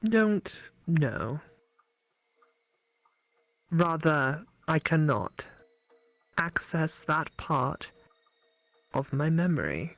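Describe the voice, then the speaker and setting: A woman plays an otherworldly female companion creature for a visual novel game. She speaks very slowly in halting fragments, often sinking toward a whisper, with music playing underneath. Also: sits low, sing-song, dry recording, exaggerated voice. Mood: halting, eerie. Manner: slow, halting, broken phrases, hushed. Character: Mallow, slow halting female skull-faced phone-app companion creature.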